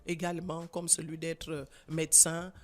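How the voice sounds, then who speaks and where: A woman in her sixties with a Gabonese French accent, a guest author speaking earnestly and emphatically in a radio interview, pausing often with fillers. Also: deep voice, little pitch movement. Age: 60s. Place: radio studio.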